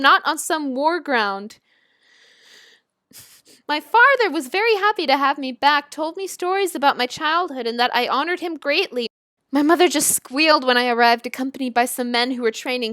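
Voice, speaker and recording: A woman reading a story aloud and breaking off for casual, giggly, animated reactions of her own. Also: high voice, no room sound, clean studio sound. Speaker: video creator reading and reacting to fan fiction.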